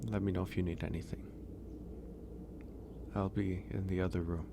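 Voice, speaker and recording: Man voicing a gentle flirty werewolf, very low voice, unhurried, soft-spoken ASMR roleplay, ambient noise behind. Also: level tone, gravelly. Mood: grateful, caring. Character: gentle, flirtatious male werewolf host, lonely and tender. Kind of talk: ASMR romantic audio roleplay.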